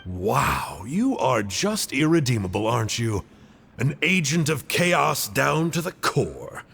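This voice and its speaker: An actor playing a calm, scholarly male cult agent who lectures with cool mockery. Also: medium pitch, gravelly, slightly rough, full character voice. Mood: lecturing. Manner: slow, lecturing, measured. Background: game music.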